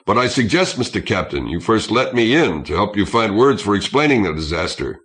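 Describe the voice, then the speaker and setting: Man reading as a stern, low-voiced ship's constable. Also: big pitch swings, a little fast, fairly bright tone. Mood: firm, urgent. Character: stern, forceful male ship's constable confronting officers. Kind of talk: audiobook character acting.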